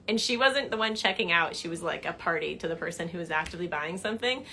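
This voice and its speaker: A young woman answering viewer questions in a casual livestream chat from her living room couch, animated and chatty. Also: fairly low pitch, fast talker, slight background noise. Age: young adult. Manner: chatty and animated, gestures a lot, laughs while telling stories. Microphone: phone camera at arm's length.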